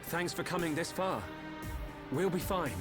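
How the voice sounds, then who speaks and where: A man's voice: fairly high pitch, a little slow, lightly acted. An actor playing an earnest, determined young male hero in a video game. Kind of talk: video game cutscene acting. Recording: loud music behind the voice.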